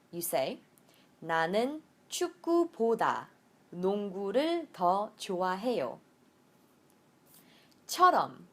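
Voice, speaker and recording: A woman language teacher giving an instructional lecture, explaining step by step and switching between two languages for example sentences. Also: mid-range voice.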